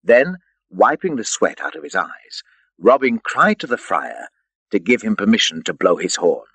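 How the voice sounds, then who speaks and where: A man reading an adventure story as an audiobook narrator, in an expressive, theatrical storytelling manner. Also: fairly low pitch, moderate pace, slightly rough, no echo.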